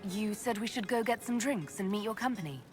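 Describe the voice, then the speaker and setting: A composed, friendly heroine leading a fantasy army, played by a woman. The mid-pitched voice is lively in its melody, earnest and inquisitive, with soft game music underneath. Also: a little fast, close to an everyday voice. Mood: curious, friendly. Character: female fantasy hero commander, composed and friendly. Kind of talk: video game voice acting.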